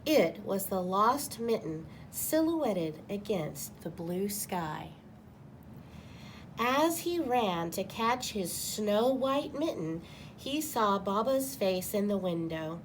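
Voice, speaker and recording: A woman's voice: medium pitch, big pitch swings, takes it slowly, slight background noise, poor audio. A woman reading a picture book aloud to children as a storyteller. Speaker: guest storybook reader.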